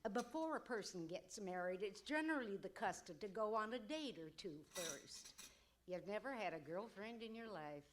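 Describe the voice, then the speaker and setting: A woman's voice: medium pitch, animated, moderate pace, slight background noise, lightly acted. A woman playing a bossy, teasing older mother in an old-time radio Western comedy, with folksy, scolding talk. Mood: exasperated, nagging.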